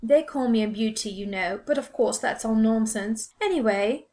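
A woman's voice: on the high side, normal speed, warm tone, lightly acted. A woman voicing a grand, vain society lady with gushing, affected upper-class speech.

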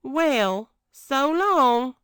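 A woman voicing a stubborn working-class London cook in a storybook, with emphatic, drawn-out colloquial speech. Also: sits high, expressive, a bit bright, no room sound, studio quality, pitched above the performer's own voice, exaggerated voice. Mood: proud, contented. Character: stubborn, delighted working-class female household cook made queen.

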